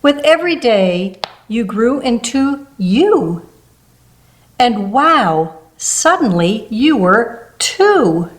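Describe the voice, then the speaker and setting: Unhurried woman reading a picture book. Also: a little high. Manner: gentle, unhurried storybook reading. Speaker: children's book reader.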